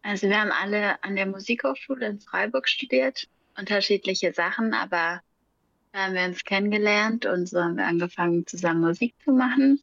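A young woman, a singer who is a guest, talking casually in an interview, relaxed, often laughing and searching for words. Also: medium pitch, brisk, gravelly, no room sound, highs cut off.

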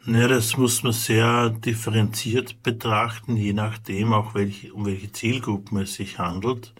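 A man's voice: lowish voice, level tone, a little slow. A man with an Austrian accent giving a careful, methodical explanation in an interview as a charity representative.

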